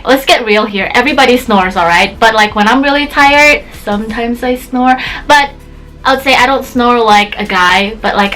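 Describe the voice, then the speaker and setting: A woman's voice: fairly high pitch, fairly bright tone, low-quality recording. A young woman in her twenties, raised in Indonesia and a native Indonesian speaker, chatting casually to her camera about herself with lots of "like" fillers. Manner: chatty, bubbly, many "like" fillers. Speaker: beauty vlogger.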